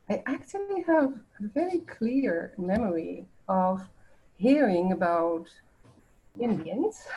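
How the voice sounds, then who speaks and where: A woman in her seventies from the Netherlands with a Dutch accent, recalling things in a halting way as a podcast guest. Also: mid-range voice, darkish tone, a little hiss or hum, poor audio. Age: 70s. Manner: halting, with frequent restarts and light laughter. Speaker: guest artist. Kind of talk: casual podcast interview.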